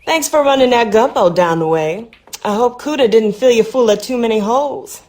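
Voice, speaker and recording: A woman's voice: a little high, normal speed, a little mellow, natural voice. An actress playing a breezy, friendly local woman in a video game. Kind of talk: video game character acting.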